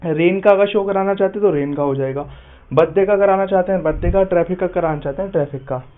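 A man's voice: sits high, quick pace, phone-quality sound. A man giving an app tutorial voice-over as a YouTube host, often addressing his viewers as friends.

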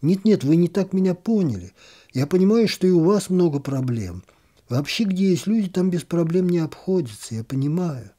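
A man's voice: sits high, expressive, moderate pace, dark tone, pitched above the performer's own voice. A man voicing an earnest, naive male office worker in a short story, explaining himself. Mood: flustered, apologetic.

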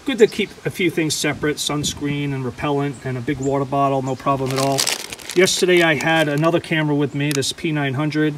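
A man casually walking the viewer through his gear outdoors, relaxed and chatty with frequent ums and restarts, recorded on a handheld camera. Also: a little high, brisk, background noise. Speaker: outdoors vlogger. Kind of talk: casual show-and-tell walkthrough. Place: outdoors beside a canoe.

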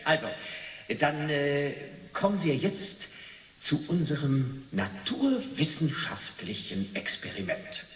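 An actor playing a jovial male TV quiz show host in a radio play, with halting showman patter. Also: fairly high pitch, a bit bright, full character voice. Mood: jovial, eager. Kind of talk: 1980s German radio play acting. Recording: echoey hall, distant, faint noise.

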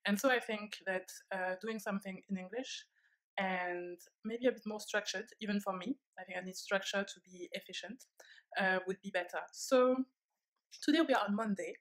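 A woman's voice: medium pitch, moderate pace, rough recording. Young French woman, first language French, speaking English. Candid, musing vlog talk to camera, seated at home. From France. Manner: candid, reflective, with frequent fillers. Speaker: vlogger. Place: living room, seated on a leather sofa.

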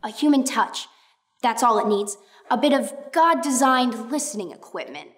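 Radio-play girl genius, female actor: headstrong, excitable, higher voice, reverberant. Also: normal speed, close to an everyday voice. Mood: excited, proud. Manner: emphatic, excitable. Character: eager, stubborn girl inventor, proud and dramatic. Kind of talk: stage-style radio play acting. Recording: reverberant room, noisy with clicks.

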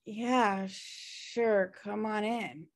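Woman playing a dry, slow-paced slacker sister. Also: medium pitch, steady tone. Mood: dry, stoned. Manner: dry, teasing, sarcastic. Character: sardonic, pushy, slacker twin sister in her thirties. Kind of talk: comedy script table read. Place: home room on a video call.

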